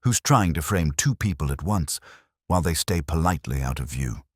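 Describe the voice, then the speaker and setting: Mystery inspector, male reader acting: unassuming, measured, probing, very deep and husky. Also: animated, dry recording, clean studio sound, exaggerated voice. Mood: thoughtful. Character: quiet, shrewd, unassuming male police inspector.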